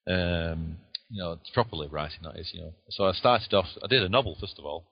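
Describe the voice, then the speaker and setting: A man in his sixties with a Lancashire accent answers interview questions, his very deep voice modest and unhurried. He hesitates often with small fillers, and the recording sounds dull and lo-fi. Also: slightly rough. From Lancashire, England. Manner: modest, chatty, hesitant with "uh" and "I mean". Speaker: guest author.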